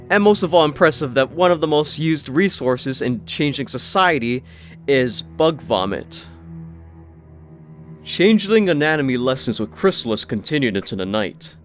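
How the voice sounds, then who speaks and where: A man narrating a scripted fantasy story, expressive, with wry asides. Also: fairly high pitch. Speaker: audiobook narrator. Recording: faint music behind the voice.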